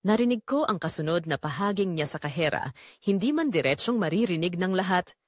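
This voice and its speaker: A woman's voice: on the low side, animated, brisk, no echo, studio quality. A woman narrating a dramatic first-person story in an emotive way.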